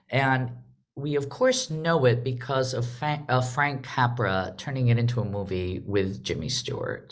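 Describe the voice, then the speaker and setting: Woman hosting a theatre chat on a video call, kinda measured, pauses between phrases. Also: extremely low pitch. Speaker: theatre director and host of an online discussion. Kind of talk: welcoming remarks to an online audience.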